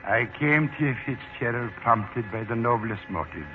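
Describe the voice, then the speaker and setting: An actor playing a sly, dignified Irish leprechaun posing as a manservant, wordy and mock-formal, in 1940s radio style. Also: male voice, mid-range voice, normal speed, lightly acted. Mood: dignified, wry. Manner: wordy, mock-formal, flustered when cornered. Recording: tinny old 1948 radio broadcast, music.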